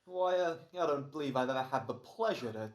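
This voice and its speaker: Woman as a low-voiced, weary radio actor. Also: expressive, fairly quick, roomy sound. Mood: puzzled. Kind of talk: old-time American radio acting.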